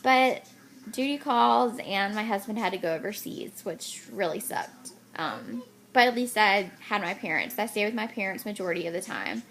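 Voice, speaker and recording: A woman's voice: medium pitch, lively intonation, moderate pace, fairly bright tone. A woman in her twenties chatting casually to the camera, rambling with many ums. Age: 20s. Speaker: vlogger.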